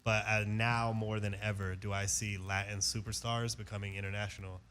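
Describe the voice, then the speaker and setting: A man's voice: lowish voice, little pitch movement, fairly quick, fairly bright tone. A man hosting a casual radio interview, chatty and prone to restarts and easy laughter. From Afghanistan.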